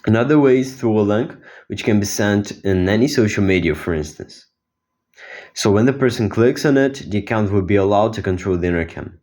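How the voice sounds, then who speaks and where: Low-voiced teenage guy presenting. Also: lively intonation, a little fast, a little mellow. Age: teens. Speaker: student inventor presenting own project. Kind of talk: explanatory project demo.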